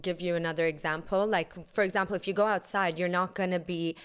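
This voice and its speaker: A young woman, a yoga instructor, talking calmly and softly as a podcast guest. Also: fairly low pitch, quick pace, clean tone. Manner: calm, soft-spoken. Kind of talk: casual chat.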